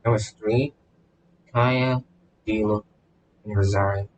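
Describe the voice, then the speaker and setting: A man's voice: lowish voice, steady tone, takes it slowly, lo-fi sound, slight background noise. A man streaming a video game, giving casual commentary and often repeating his words and phrases.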